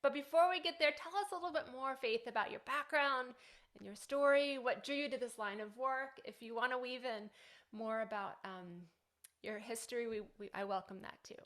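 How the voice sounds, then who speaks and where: A woman's voice: a little high, moderate pace, a bit bright. A woman hosting an interview podcast, introducing her guest and asking questions in an enthusiastic way. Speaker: podcast host.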